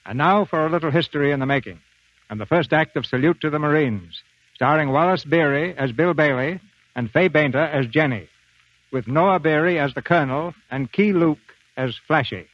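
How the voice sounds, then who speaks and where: An American man hosting and narrating a 1940s radio theater program in a formal, measured, polished announcer style. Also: a little high, no echo, lo-fi sound. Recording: dry, band-limited 1940s radio broadcast.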